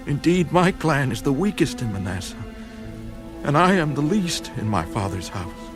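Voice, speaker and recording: A man's voice: medium pitch, very animated, moderate pace, music in the background, close to an everyday voice. A man playing a humble, doubting young Israelite farmer in a dramatized scripture audio drama, earnest and pleading in formal phrasing.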